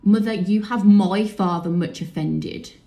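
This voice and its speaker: A woman's voice: medium pitch, unhurried, warm tone, close to an everyday voice. A woman playing a bitter, accusing young prince in a Shakespearean tragedy made as audio drama. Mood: bitter, defiant. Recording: echoey room.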